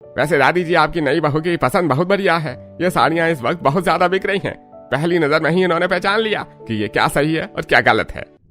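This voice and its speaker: A man's voice: on the high side, animated, fairly quick, fairly bright tone, soft background music. A man voicing a friendly, polite sari seller eager to please customers in a Hindi cartoon story. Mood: eager, flattering. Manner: polite, salesman-like.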